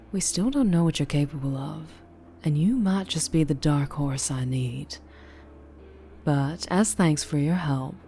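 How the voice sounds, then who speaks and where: A woman with a Southern US accent playing a flirtatious, troubled, protective vampire in an intimate ASMR roleplay, speaking softly and haltingly. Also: low-pitched, slight background noise. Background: music.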